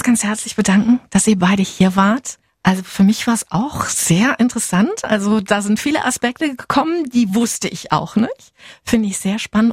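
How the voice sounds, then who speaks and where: A woman's voice: medium pitch, breathy edge, dry recording, studio quality. A woman interviewing guests, asking questions in a friendly, inquisitive way.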